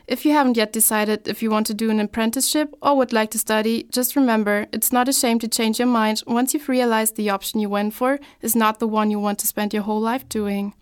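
Young female talk-show host, clear smooth tone, bright scripted delivery. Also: fairly high pitch.

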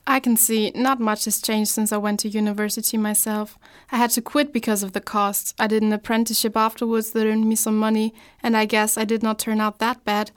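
A woman's voice: fairly high pitch, little pitch movement, a little fast, few pauses, clean tone. A young woman hosting a scripted talk show, presenting in an upbeat, read-out way.

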